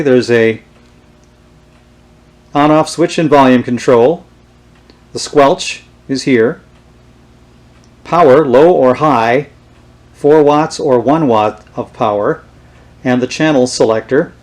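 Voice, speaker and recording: A man with a medium-pitched voice presents an informal product demonstration. He speaks without a script, in a halting and unhurried way, as someone showing items piece by piece. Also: darkish tone. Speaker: presenter showing vintage radio gear. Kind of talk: unscripted show-and-tell demonstration.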